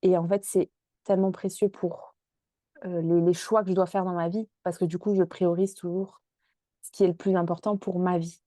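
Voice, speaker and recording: Young woman, low pitch, mellow tone, dry close sound, halting speech with fillers. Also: flat delivery, no room sound. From Marseille, France. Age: 20s. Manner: hesitant, many fillers and restarts. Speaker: podcast guest. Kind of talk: casual interview chat.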